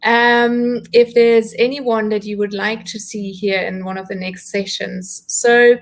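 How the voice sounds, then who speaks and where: A woman with a mid-pitched voice works as the host of an interview session. She introduces and asks in a halting, deliberate way, building her sentences piece by piece. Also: little pitch movement. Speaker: host of an online interview series. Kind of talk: welcoming introduction and interview questions.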